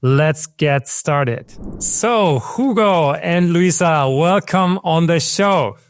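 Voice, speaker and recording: A man in his forties hosts a tech interview over a video call from his home office. His pitch sits slightly higher than most men's, and the sound is dry with no room echo. He asks crisp, structured questions. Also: expressive, drawn-out words. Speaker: host. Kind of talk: scripted interview host. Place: home office with world map on wall. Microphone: video call webcam.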